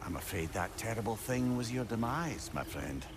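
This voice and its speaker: An actor playing a wise, worldly older companion in a video game, wry and brotherly, measured and expressive, with noise behind. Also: male voice, lowish voice, natural voice. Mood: wry, gentle. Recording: noisy ambient game scene.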